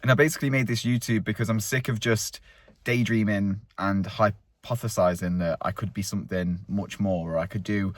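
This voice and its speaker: Chatty man in his thirties, low voice. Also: steady tone, normal speed, no room sound. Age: 30s. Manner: rambling, open, chatty. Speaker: vlogger host. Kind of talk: casual walk-and-talk vlog. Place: outdoors on a countryside walk.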